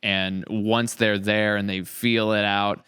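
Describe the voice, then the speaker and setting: Male podcast host, low smooth voice, rambling and enthusiastic, conversational. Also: little pitch movement, takes it slowly, pushed and bright. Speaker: podcast host, audio drama creator.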